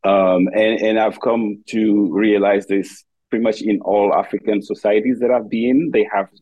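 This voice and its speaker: Man, Senegalese accent, very deep, husky, dry close sound, thoughtful and searching. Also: fairly even intonation, moderate pace, dark tone, no echo, poor audio. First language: Wolof. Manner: thoughtful, searching for words, frequent restarts. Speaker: guest, dance professor. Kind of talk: conversational interview.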